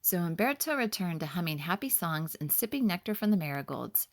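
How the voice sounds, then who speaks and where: A woman narrating her own children's story in an expressive, clearly enunciated manner. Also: lowish voice, a little fast. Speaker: author reading own story.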